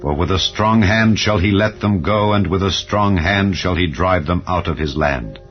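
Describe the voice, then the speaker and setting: A majestic, commanding male God, voiced by a man reader in a scripture drama. The voice is deep and declamatory, grand and emphatic in its promises. It sounds a little dull and lo-fi, with calm music behind. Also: normal speed, natural voice. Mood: solemn, commanding. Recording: dull lo-fi audio over music. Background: calm background music.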